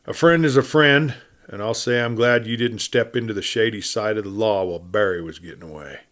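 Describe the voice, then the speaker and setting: A man's voice: lowish voice, brisk, a bit bright, lightly acted. A man acts out a small, dusty sheriff from a western storybook. He is hard, careful and sly. His talk is folksy and drawling, full of frontier plainness. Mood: wry, frank.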